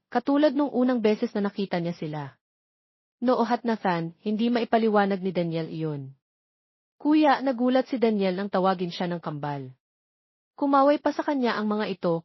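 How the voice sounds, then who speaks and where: A woman's voice: mid-range voice, dry recording, lo-fi sound. A woman narrating a scripted romance audio story.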